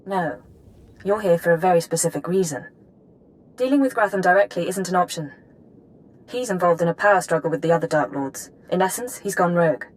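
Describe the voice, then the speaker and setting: Woman playing a cool businesslike spy handler giving game briefings, kinda low voice, very precise and formal. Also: fairly quick, natural voice, low-quality recording. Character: crisp, businesslike female intelligence handler, coolly in control. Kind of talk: video game voice acting, mission briefing.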